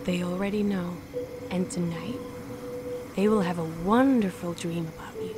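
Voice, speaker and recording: Woman acting as soothing grim reaper lady in a radio drama, solemn n measured, noisy bg. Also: fairly low pitch, natural voice. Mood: tender, reassuring.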